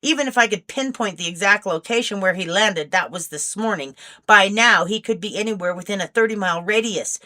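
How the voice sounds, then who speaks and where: A stern older male agent from an audiobook , voiced by a woman, grumpy and disapproving. He speaks in stiff, formal phrases with a bright, forceful edge. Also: mid-range voice, a little fast, lightly acted. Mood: businesslike.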